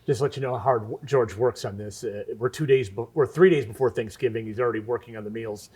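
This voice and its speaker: Mid-pitched male local TV interviewer. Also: quick pace, darkish tone, breathy edge. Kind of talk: conversational interview.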